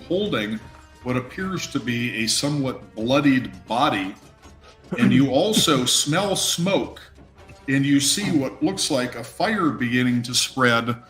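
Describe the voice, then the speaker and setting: A man running a tabletop game, improvising narration haltingly, thinking aloud and swearing casually. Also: mid-range voice, a bit bright. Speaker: game master. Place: indoor room on a live stream. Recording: roomy echo with music underneath.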